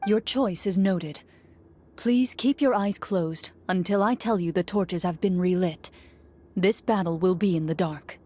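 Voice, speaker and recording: A woman's voice: lowish voice, measured pace, mellow, a little hiss or hum, close to an everyday voice. A woman voicing a composed, solemn game master who narrates formally and dramatically in an anime video game, over music. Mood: calm, formal. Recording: music behind the voice.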